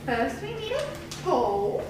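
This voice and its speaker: A woman's voice: high voice, huge pitch swings, slow pace, exaggerated voice. A woman voicing a patient, friendly female baker puppet who gives folksy step-by-step instructions in a children's puppet show. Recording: echoey room, distant mic, noisy.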